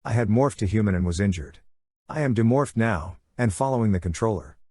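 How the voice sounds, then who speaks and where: Guy doing a young alien fighter, formal and precise, husky, sci-fi audiobook. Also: on the low side, expressive, fairly quick, mellow, no room sound, studio quality. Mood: composed urgency. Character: formal, composed young male alien warrior.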